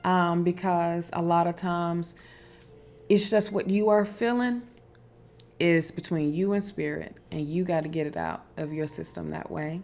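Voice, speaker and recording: A woman hosting a casual spiritual advice talk, speaking emphatically with fillers and restarts and a strong, loud voice. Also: lowish voice, fairly even intonation, a little slow. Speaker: spiritual wellness host.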